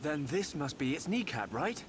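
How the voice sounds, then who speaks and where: Earnest young hero, slightly high voice. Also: male voice, big pitch swings, normal speed, background noise. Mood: curious. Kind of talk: video game cutscene acting. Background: faint game soundtrack music.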